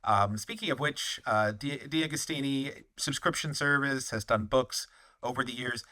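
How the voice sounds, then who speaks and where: A man's voice: medium pitch, moderate pace, sharp tone, no room sound. A man hosting an interview, asking questions in a friendly, upbeat way. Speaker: podcast host, interviewer. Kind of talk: casual interview.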